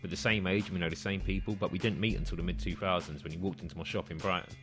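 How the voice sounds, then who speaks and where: A man, reading a brisk scripted podcast introduction. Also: deep voice, steady tone, music in the background. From North West London, England. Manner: brisk, read-out presentation. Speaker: podcast host.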